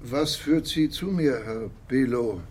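An actor playing a wary, bitter archaeology professor in a radio drama, husky, measured and formal. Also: male voice, medium pitch, natural voice. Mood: guarded, sceptical.

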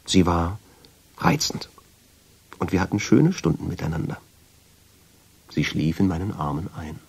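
Radio drama lead: uneasy, haunted narrator, deep and husky, brooding, with a widely moving melody. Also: male voice, big pitch swings, a little slow, a little mellow, exaggerated voice. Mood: reflective, uneasy. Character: haunted, uneasy man recounting his obsession with a portrait. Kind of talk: radio drama first-person narration and dialogue.

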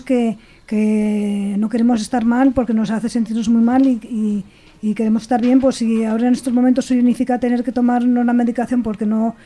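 A woman's voice: on the high side, warm tone. A woman talking reflectively and unscripted on a radio show, repeating words as she thinks aloud.

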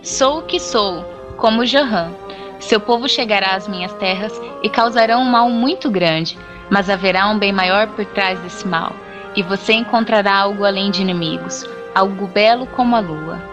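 A woman's voice: mid-range voice, moderate pace, muffled recording, natural voice. A woman playing a serene, prophetic goddess who speaks in a dream, solemn and reassuring, in an audio drama. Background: music.

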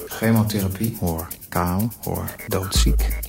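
A man's voice: low-pitched, steady tone, slow pace, vocal fry, a little mellow, exaggerated voice. An actor playing a blunt, sardonic young husband and father who narrates a radio drama. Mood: bitter. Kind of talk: first-person radio drama narration and dialogue. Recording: radio drama mixed with prominent music. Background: music.

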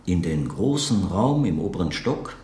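A man's voice: sits low, big pitch swings, normal speed, warm tone, reverberant. An actor playing a reflective man in a radio play, searching hesitantly and earnestly for words as he remembers a friend. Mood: reflective, recollecting. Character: reflective man recalling an enigmatic late writer friend. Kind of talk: naturalistic German radio play acting, interview-like monologue.

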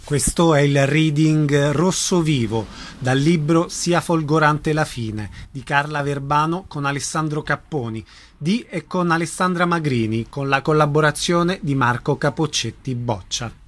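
A man, the radio announcer, reading station notices and introducing a theater reading in a clear, informational way. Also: a little high, a little slow.

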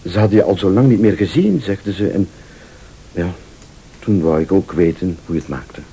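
An actor with a Flemish accent playing an insistent, accusing man in a radio play, deliberate and probing, sometimes sardonic or pleading. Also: sits low, animated, mellow, a little hiss or hum. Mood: subdued, wistful.

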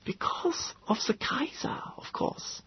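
A man voicing a thin, fragile, eccentric male professor in his thirties with a light German accent, excitable and rambling. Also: very high-pitched, moderate pace, gravelly, a little hiss or hum, voice pushed up, exaggerated voice. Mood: conspiratorial. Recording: dull lo-fi recording.

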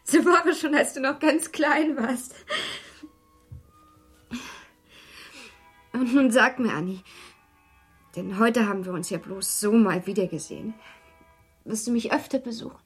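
An actress playing an anxious, tender young mother burdened by guilt in a German radio play, with a breathy voice. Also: a little high, expressive, moderate pace, soft background music. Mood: wistful, pleading.

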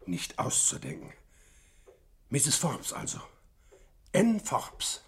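An actor playing an uneasy, courteous, evasive lawyer in a German radio crime drama, with a husky, creaky voice. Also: male voice, medium pitch, huge pitch swings, takes it slowly, full character voice. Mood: uneasy, hesitant.

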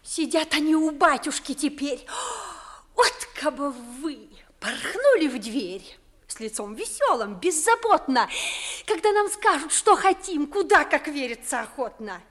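A woman playing a pert, shrewd young maidservant, brisk and teasing, in a theatrical 1950s radio comedy. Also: sits high, expressive. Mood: sly, coaxing. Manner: brisk, teasing, sharp-tongued.

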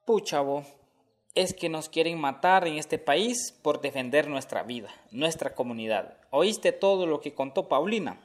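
A man's voice: a little high, expressive, measured pace. A man with a Honduran accent playing an indignant, colloquial community activist in a radio drama. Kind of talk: scripted radio drama dialogue. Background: music.

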